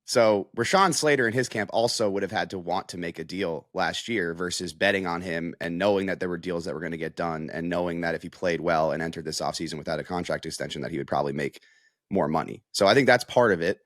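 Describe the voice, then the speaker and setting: A man's voice: deep voice, fast talker, a bit bright, no echo, clean studio sound. An American man giving casual, conversational sports analysis as a reporter, with frequent filler phrases like "you know".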